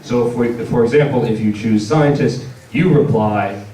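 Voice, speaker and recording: A man giving an informal convention panel presentation in a hesitant, halting way. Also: medium pitch, warm tone. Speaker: panel presenter for a game publisher. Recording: distant mic in echoey, noisy room.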